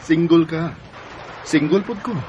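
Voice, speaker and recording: A man with a Cebuano accent playing a smooth, flirtatious co-worker courting a woman in a radio drama. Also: on the high side, mellow, highs cut off, slight background noise. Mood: flirtatious, coaxing. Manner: flirtatious, unhurried. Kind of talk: Bisaya radio drama acting.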